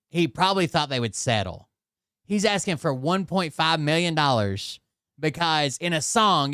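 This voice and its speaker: A man's voice: mid-range voice, a bit bright, smooth, dry recording, studio quality. A man giving casual, blunt and profane commentary during a live stream.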